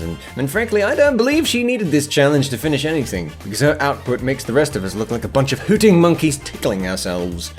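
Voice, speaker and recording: A middle-aged man narrating a showcase video as host, in a playful, jokey and theatrical scripted style. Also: medium pitch. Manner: jokey, wry, theatrical phrasing. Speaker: host presenting viewer submissions.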